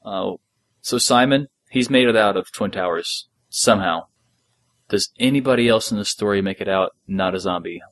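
A man's voice: fairly low pitch, normal speed, a bit bright. A man hosting a podcast, talking casually as a fan discussing a story. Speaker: podcast host.

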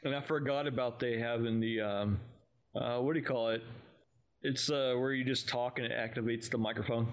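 Male podcast co-host, mid-pitched, casual recap style, informal and halting delivery. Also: steady tone. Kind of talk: casual recap of an audio drama.

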